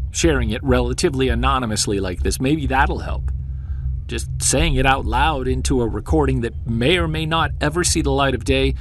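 An actor playing a troubled, guilt-ridden husband and Bigfoot investigator in an audio drama, earnest and confessional. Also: male voice, medium pitch, a little hiss or hum, lightly acted, poor audio. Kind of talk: audio drama monologue and dialogue.

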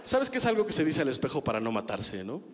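A man in his thirties with a Mexican accent works a small club crowd through a handheld mic. His delivery is chatty and self-mocking, dotted with crude slang, and his pitch sits a little high and swoops around a lot. A long reverb trails each line. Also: huge pitch swings, fast, background noise. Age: 30s. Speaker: stand-up comedian. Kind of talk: stand-up comedy set. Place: small club stage with a live audience.

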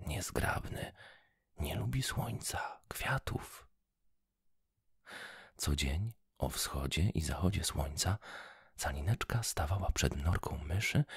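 A man reading a fairy tale aloud in a soft, slow whisper. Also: low-pitched, gravelly, breathy edge, dry recording, studio quality. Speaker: storyteller.

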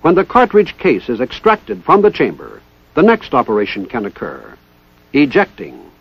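A man giving formal, precise, scripted narration in the style of a 1960s military instructional film, with measured enunciation. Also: mid-range voice, wildly swooping pitch, vocal fry, husky. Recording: dull 1960s training film soundtrack.

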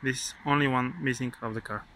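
A man with a Bulgarian accent, speaking halting, broken English while showing off a car on a handheld phone. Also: medium pitch, fairly even intonation, pushed and bright, a little hiss or hum, low-quality recording. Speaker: seller showing a classic car. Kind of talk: casual walkaround sales video. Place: outdoors by a car and inside it. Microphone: phone camera held by the speaker.

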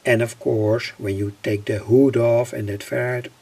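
A man explaining a homemade electronic circuit informally, in a halting, deliberate way with pauses. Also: medium pitch, steady tone, vocal fry, dark tone, slightly rough. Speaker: electronics hobbyist presenter.

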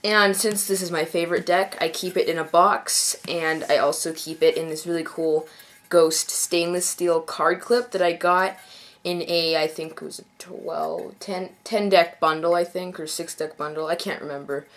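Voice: mid-range voice, fairly even intonation, moderate pace, fairly bright tone. A young adult with a stuffy, congested voice from a cold, giving a casual unscripted review in a rambling, self-correcting way. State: mild head cold. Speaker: card deck reviewer. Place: indoors at a card table.

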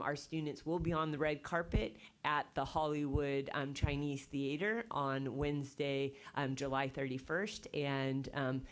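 A middle-aged woman presenting a program at a board meeting through a desk mic, explaining with frequent "um"s. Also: sits low. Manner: filler-heavy, explanatory. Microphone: desk microphone.

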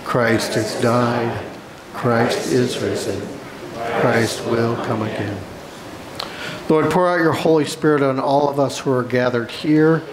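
A pastor reading a communion liturgy in a church service, in a measured, solemn way. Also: male voice, medium pitch, fairly even intonation, a crowd in the background, rough recording. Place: church sanctuary.

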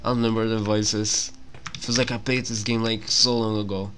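A man's voice: lowish voice, flat delivery, normal speed, a bit bright, slight background noise. A young man hosting a gaming video, chatting casually and informally with a self-deprecating manner. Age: young adult. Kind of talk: casual game commentary, reading dialogue aloud. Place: small room at home. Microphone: handheld microphone.

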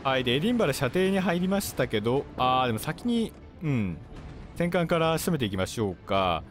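A man commentating on gameplay as a video host, explaining politely in short, halting phrases. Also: medium pitch, very animated. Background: game music and artillery fire.

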